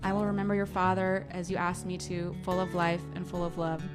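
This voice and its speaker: A woman's voice: on the low side, monotone, fairly quick, lightly acted. Woman reading as earnest grieving teenage girl, music behind. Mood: pensive, afraid. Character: fearful, grieving teenage girl reading her heartfelt letter. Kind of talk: dramatic reading of a novel.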